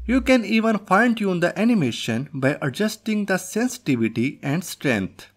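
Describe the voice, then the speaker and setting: A man with a high-pitched, animated voice hosts a scripted software tutorial. He explains things step by step over background music. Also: moderate pace. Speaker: tutorial host. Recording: music behind the voice.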